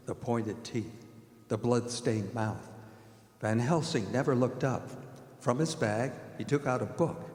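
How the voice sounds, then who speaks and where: A man playing a haunted doctor who narrates a horror radio drama in a grave, measured way. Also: medium pitch, darkish tone, close to an everyday voice. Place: performing arts center stage. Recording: live hall recording with long reverb.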